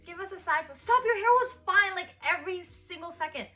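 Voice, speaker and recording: A woman's voice: extremely high pitch, brisk, pushed and bright, soft background music. A woman in her early twenties chatting casually and teasing her husband in a Q&A vlog, with lots of "like" fillers. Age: 20s. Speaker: vlogger. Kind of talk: casual couple Q&A chat.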